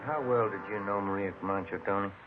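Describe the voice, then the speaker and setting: An actor playing a calm, businesslike police detective partner in an understated vintage radio drama style. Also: male voice, on the low side, fast, over music, close to an everyday voice. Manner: understated, matter-of-fact. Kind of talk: 1950s radio drama acting. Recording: muffled old radio recording, faint noise.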